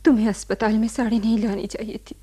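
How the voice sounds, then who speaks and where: An actress playing a devoted, anxious wife in a Hindi radio drama, with a breathy voice. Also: female voice, on the high side, little pitch movement, fast talker, dark tone. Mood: subdued, puzzled. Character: devoted, anxious wife fearing for her husband.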